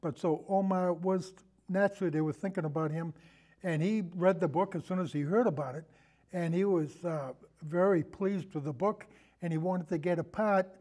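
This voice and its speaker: A man in a casual film discussion, telling anecdotes in a halting, rambling way and often repeating his phrases. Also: high-pitched, warm tone. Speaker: podcast guest, film discussion.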